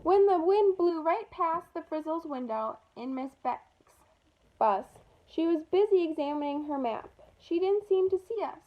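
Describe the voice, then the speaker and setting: A woman with a high voice reads a children's picture book aloud. Her delivery is animated and expressive, with small asides about the pictures. The recording is slightly dull and lo-fi. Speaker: storytime reader for children.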